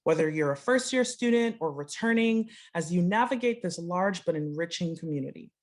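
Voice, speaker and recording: A woman's voice: on the low side, lively intonation, brisk. A woman hosting an online workshop over a video call, reading out a prepared, formal introduction. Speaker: host introducing a workshop speaker. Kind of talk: scripted event introduction.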